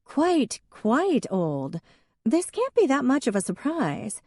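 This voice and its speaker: A woman reading an audiobook, voicing a sarcastic young woman with a dry, deadpan wit. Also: high voice, slow pace, no room sound, pitched above the performer's own voice, full character voice.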